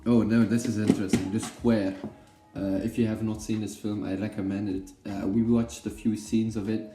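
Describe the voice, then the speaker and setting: A man's voice: lowish voice, flat delivery, normal speed, reverberant, over loud music. A young Belgian man whose first language is Dutch, chatting casually about films with lots of fillers, restarts and jokes. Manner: rambling, many fillers and self-corrections, joking. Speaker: film vlogger.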